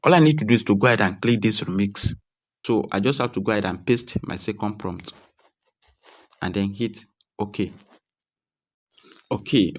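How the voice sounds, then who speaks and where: A man presenting a casual tutorial, speaking directly to viewers in a reassuring, repetitive way. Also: fairly low pitch. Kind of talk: casual screen-recorded tutorial.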